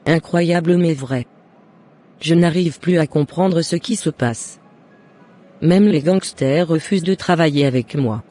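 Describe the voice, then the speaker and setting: Man voicing a high-pitched jealous neighbour woman. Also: a little slow, mellow, faint music behind. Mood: astonished, resigned. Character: jealous, scheming woman neighbour turned remorseful.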